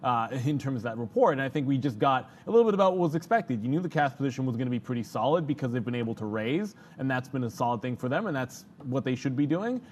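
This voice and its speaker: A man's voice: medium pitch, lively intonation, fast talker, few pauses, darkish tone, slight background noise. A man working as an analyst on a live trading show, explaining the market off the cuff in long, winding sentences. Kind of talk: unscripted analytical market commentary.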